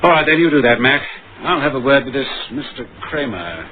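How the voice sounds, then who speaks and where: An actor playing a measured, dryly grave police inspector narrating his case in an old radio crime drama. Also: medium pitch, very animated, slight background noise, lightly acted. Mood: brisk, polite. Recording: muffled old radio recording with music.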